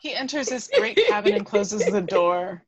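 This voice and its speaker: A woman's voice: medium pitch, expressive, moderate pace, a loud crowd. A woman reading scripted screenplay action as the narrator of a table read. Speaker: stage-direction reader.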